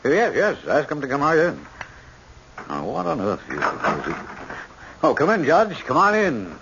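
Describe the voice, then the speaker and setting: Courteous prison warden, wildly swooping intonation, fussy, radio drama, noisy muffled recording. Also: male voice, a little high, wildly swooping pitch, normal speed. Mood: flustered, welcoming. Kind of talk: old-time radio drama acting. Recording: dull, noisy old radio broadcast.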